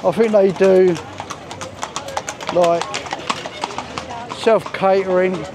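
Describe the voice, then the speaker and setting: A man's voice: high voice, steady tone, drawn-out words, noisy background. A man with a Cockney accent giving chatty walking-tour commentary outdoors, thinking aloud as he goes. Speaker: vlogger. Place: outdoor resort streets. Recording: busy street crowd behind.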